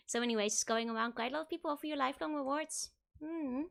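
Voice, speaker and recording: Giggly, hoarse young woman streamer. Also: sits high, a bit bright. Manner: giggly, rambling, playful. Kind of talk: casual game stream chat.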